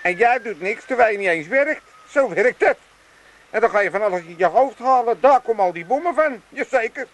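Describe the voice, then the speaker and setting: A man's voice: very high voice, animated, brisk. An older actor playing a grumbling, sarcastic, scheming old father in a comic radio play. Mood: sarcastic, scornful. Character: grumpy, scheming old Amsterdam father from a retirement home.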